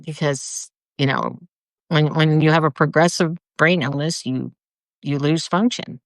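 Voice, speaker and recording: A speaker in a casual conversation, reflective and soft-spoken, often restarting sentences. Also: mid-range voice, fairly even intonation, unhurried, dry recording.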